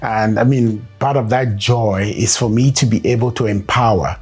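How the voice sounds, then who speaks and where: A man from Cameroon, a performance consultant and coach, giving measured interview answers with many pauses and fillers. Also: on the low side, a little mellow, breathy edge, soft background music.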